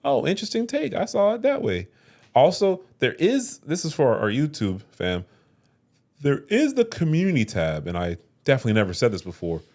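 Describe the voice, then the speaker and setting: A man co-hosting a podcast in casual chat, playful, jumping up high when he jokes. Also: moderate pace. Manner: playful, animated, swings up high when joking. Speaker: podcast co-host.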